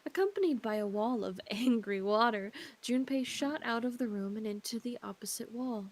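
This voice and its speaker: A woman's voice: on the high side, expressive, moderate pace. A woman co-hosting a visual novel stream, reading narration aloud with casual, sweary asides. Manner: informal, sweary.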